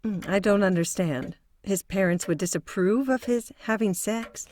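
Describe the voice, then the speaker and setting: A woman playing a measured, analytical psychotherapist in an audio drama. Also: mid-range voice, lightly acted. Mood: calm, probing. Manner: calm, probing, analytical. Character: measured, probing female psychotherapist.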